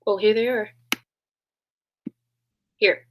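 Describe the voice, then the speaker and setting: A woman's voice: mid-range voice, steady tone, extremely slow, dull audio. A woman playing a detective's helpful, concerned young assistant in a 1940s radio play. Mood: uneasy.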